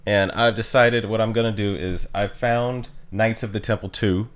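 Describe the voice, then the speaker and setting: A man chatting casually as a game streamer, informal and halting as he thinks aloud. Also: fairly low pitch, fairly even intonation. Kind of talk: casual livestream chat.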